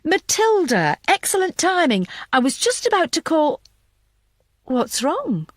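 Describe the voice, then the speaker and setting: A woman's voice: sits high, very animated, a little slow, no echo, clean studio sound, pitched above the performer's own voice. A woman narrator voicing a blunt, bossy but caring female pathologist in an audiobook, emphatic and exasperated. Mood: brisk.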